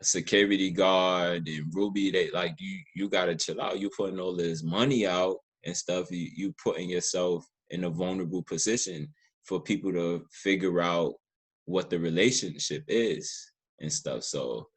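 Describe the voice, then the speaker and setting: A man's voice: low-pitched, normal speed, husky. A man hosting a casual interview, talking informally and searching for words as he shares his thoughts. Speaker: podcast host, interviewer.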